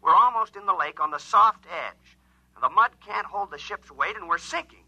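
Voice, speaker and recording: An alien spaceship pilot from a 1950s radio drama, urgent and insistent. The voice is very sharp and forceful, swinging widely in pitch. It comes through a radio transmission on a dull, lo-fi old recording. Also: male voice, lively intonation, normal speed, breathy edge, no echo, exaggerated voice. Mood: desperate, pleading. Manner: urgent, insistent, short clipped reports. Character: alarmed alien spaceship pilot, joking turning desperate, pleading. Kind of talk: 1950s science fiction radio acting.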